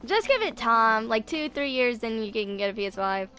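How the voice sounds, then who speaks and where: A woman's voice: fairly high pitch, very animated, normal speed, pushed and bright, soft background music. A woman in her twenties chatting casually while streaming a video game, rambling and hesitant as she goes. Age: 20s. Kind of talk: casual live gameplay chat.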